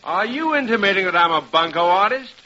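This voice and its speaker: Pompous middle-aged professor, highish animated voice, indignant, haughty wording, noisy vintage radio comedy recording. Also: male voice, a little fast, highs cut off. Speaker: lead actor in a radio comedy. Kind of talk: old-time radio sitcom acting.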